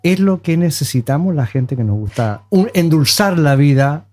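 A man's voice: on the high side, animated, moderate pace, mellow. A man in his seventies chatting casually as a panelist on a show. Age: 70s. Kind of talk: casual chat.

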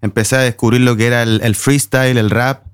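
A man's voice: mid-range voice, steady tone, fairly quick, clean tone, dry recording, studio quality. A man with a Chilean accent, a musician talking casually as an interview guest. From Viña del Mar, Chile.

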